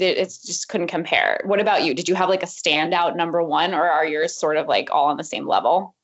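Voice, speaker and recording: A woman co-hosting a podcast, talking in a relaxed, chatty way with lots of fillers. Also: on the low side. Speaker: podcast co-host. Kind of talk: casual podcast chat. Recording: slightly dull lo-fi audio.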